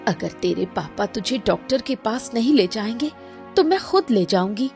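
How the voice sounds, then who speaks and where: A woman's voice: medium pitch, lively intonation, normal speed, darkish tone, soft background music, lightly acted. A woman acting a worried, nagging, protective mother in a Hindi cartoon moral story. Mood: worried, insistent.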